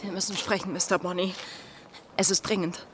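An actress playing a headstrong, determined young gentlewoman in a German radio drama, speaking earnest, formal period language. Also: lowish voice, level tone, a little fast, slight background noise, lightly acted. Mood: urgent.

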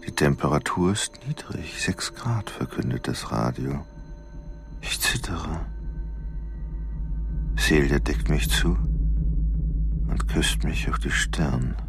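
A brooding male killer in a radio drama monologue. The voice is very deep and slow, with a heavy creak. He is intense and halting, often sinking toward a whisper over faint music. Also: exaggerated voice. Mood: eerie, hushed. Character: obsessive, brooding male killer confessing his crimes.

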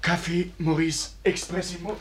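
A man as an excitable high-voiced foreign henchman. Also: normal speed, slight background noise, natural voice. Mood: eager. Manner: broken German, clipped exclamations. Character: excitable foreign henchman, dim and eager to please. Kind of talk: 1950s German radio drama acting.

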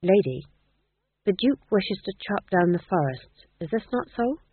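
A man's voice: high-pitched, big pitch swings, a little slow, husky, no room sound, phone-quality sound, full character voice. A man voicing a clever, anxious, servile court fool in a storybook reading, with an obsequious, earnest, persuasive manner. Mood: deferential.

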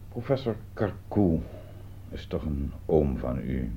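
A man's voice: rumbling low, gravelly, heavily acted. A man playing a sardonic, probing police detective in a radio drama, questioning in a dry, insinuating, hesitant way. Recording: muffled, noisy old radio play recording.

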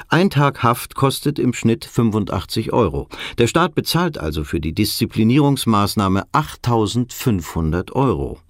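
A pedantic male narrator gives mock-academic documentary narration. His voice is fairly low, formal and precise, recorded very dry and close. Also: dry recording. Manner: formal, matter-of-fact, precise.

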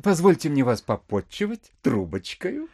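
An actor playing a sugary, gracious, slow-witted country landowner in an audio drama, cloyingly polite and hesitant. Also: male voice, on the high side, huge pitch swings, a little mellow, breathy edge, no room sound, clean studio sound, full character voice. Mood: sugary, obliging.

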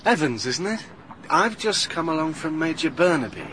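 A brash young newspaper reporter in a radio play, chatty and pushy. His voice sits mid-pitch but swings up and down with lively intonation. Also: normal speed, slight background noise. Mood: probing. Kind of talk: 1980s BBC radio drama acting.

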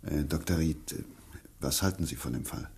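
A man acting as a calm, courteous scientific investigator in a German radio mystery drama, speaking in a measured, slightly hesitant way. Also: rumbling low, gravelly, slight background noise. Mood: grave.

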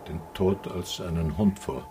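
An actor playing a pensive, melancholy film director in a German radio drama, musing earnestly. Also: male voice, low-pitched, unhurried, background noise.

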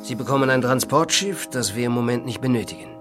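An actor playing an evasive, formal male official in a radio drama, with a measured, bureaucratic and portentous manner. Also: mid-range voice, over music, close to an everyday voice. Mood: quietly commanding.

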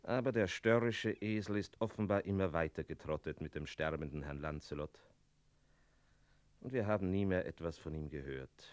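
An actor playing a wistful talking tomcat, narrating a radio play in a measured, musing way. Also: male voice, deep voice, no echo, close to an everyday voice. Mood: melancholy. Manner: measured, musing, punctuated with meows.

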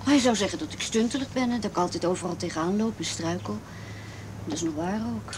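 A woman's voice: on the low side, fast, natural voice. Hesitant, frightened teenage girl, played by a woman in radio drama. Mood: anxious, doubtful. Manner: hesitant, faltering. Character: frightened, wary abused teenage girl confiding in a friend. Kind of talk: naturalistic radio drama acting. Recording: noisy old radio drama recording.

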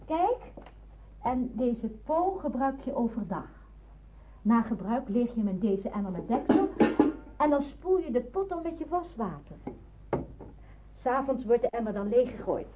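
An actress in a Dutch radio drama playing a watchful, practical nurse in hiding, explaining and cautioning. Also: female voice, fairly high pitch, very animated, measured pace, pauses often, very noisy. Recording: narrow phone-like old radio recording.

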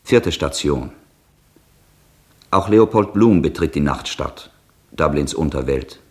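An actor as the announcer of a 1960s German radio drama, reading scripted scene summaries. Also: male voice, rumbling low, animated, takes it slowly, full character voice. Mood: factual.

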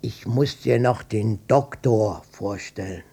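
An older man playing a stubborn, defensive widowed father in a radio drama, blunt and colloquial, with a gravelly, husky voice. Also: lowish voice, takes it slowly, warm tone, exaggerated voice. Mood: matter-of-fact. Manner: blunt, colloquial, swinging between offhand and touchy. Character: gruff widowed old father, stubborn, defensive, matter-of-fact.

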